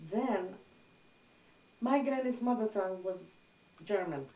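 An older woman whose first language is Hungarian, calmly recalling her childhood in an oral history interview. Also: medium pitch, slow pace. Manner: reflective, plain-spoken storytelling. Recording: roomy sound from a distant mic.

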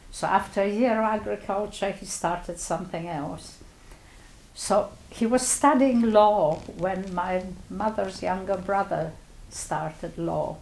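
An older woman from Poland, a native Polish speaker, reflectively recounting her childhood in an oral history interview, often pausing with "you know" and "uh". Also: mid-range voice, creaky, darkish tone, roomy sound, a little hiss or hum, poor audio.

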